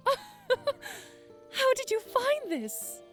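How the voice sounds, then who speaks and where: A woman playing an eager, curious young fantasy princess in an audio drama, expressive and slightly hesitant. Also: very high-pitched, extremely slow, soft background music, full character voice. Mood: awed delight. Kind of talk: fan audio drama acting.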